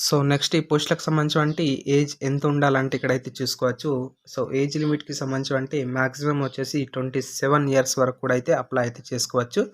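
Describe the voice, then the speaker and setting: A man with a Telugu accent presenting job information as an online host, mixing in English terms and addressing listeners as friends. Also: mid-range voice. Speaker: job-news YouTube host. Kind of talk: informational narration of a job notification.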